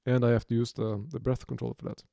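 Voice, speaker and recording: A man in his thirties from Norway with a Norwegian accent, giving an unscripted music software tutorial from his home studio, talking haltingly with many restarts and fillers. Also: medium pitch, little pitch movement, vocal fry, no room sound. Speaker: music producer demonstrating software.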